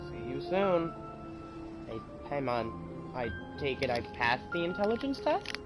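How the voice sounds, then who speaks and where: A man's voice: medium pitch, sing-song, measured pace. A man casually commentating a livestreamed video game, reacting and talking back to it as he plays. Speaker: game streamer. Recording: loud game audio behind voice. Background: game music and effects.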